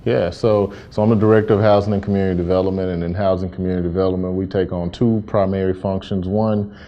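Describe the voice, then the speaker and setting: An American man in his thirties from Memphis, giving measured, earnest interview answers as a city official. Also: deep voice, little pitch movement, highs cut off. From Memphis, Tennessee, USA. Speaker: interview guest, city housing and community development director.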